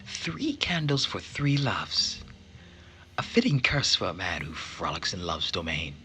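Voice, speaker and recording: Man voicing a slow, whispery, vengeful mother. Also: low voice, big pitch swings, sharp tone, slight background noise, exaggerated voice. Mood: solemn, menacing. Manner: slow, solemn, incantatory, often whispering. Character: stern vengeful mother casting a curse, middle-aged woman. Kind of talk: dramatic reading of a visual novel.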